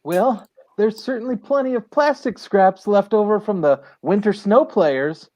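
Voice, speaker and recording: A woman's voice: mid-range voice, measured pace, dark tone, natural voice. A woman playing a sensible, earnest talking dog superhero in a comedic radio play, on muffled video-call audio. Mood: reasonable. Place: dim room at home, on a video call.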